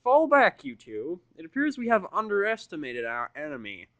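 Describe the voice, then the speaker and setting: A man voicing a haughty, menacing, indignant wealthy pony father in a fan audio story, speaking pompously with slow, deliberate threats. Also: on the high side, wildly swooping pitch, a bit bright, no room sound, voice pushed up, heavily acted. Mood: grudging command.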